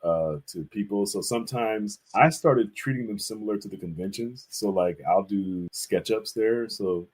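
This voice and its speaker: A man with an American accent and a low, slightly rough-edged voice. He talks casually and enthusiastically as a guest artist in an interview, and laughs readily. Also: normal speed, few pauses, darkish tone, poor audio.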